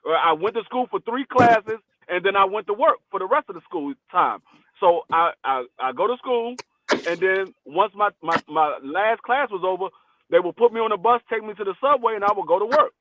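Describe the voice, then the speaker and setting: A man in his forties chatting casually on a podcast, telling stories in blunt slang with animated energy. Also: high voice, brisk, no echo. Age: 40s. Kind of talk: casual chat. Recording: tinny phone-line sound, dry.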